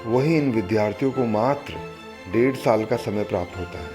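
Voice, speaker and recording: Solemn low-voiced male narrator. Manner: solemn, measured delivery. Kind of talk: scripted documentary narration with recited verse. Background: background music.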